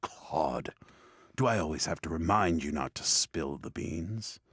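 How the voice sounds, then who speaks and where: A man narrating and hosting an old-style radio drama, dropping into dramatic hushes. His voice is deep and husky yet lively in its rises and falls. The sound is dry and close. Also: unhurried, no echo. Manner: dramatic, hushed storyteller delivery. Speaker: narrator and host.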